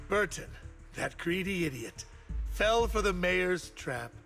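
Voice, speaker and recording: An actor playing a scheming, greedy older man who speaks slowly and mockingly, in game audio with music behind. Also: on the high side, a bit bright. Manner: slow, mocking, menacing.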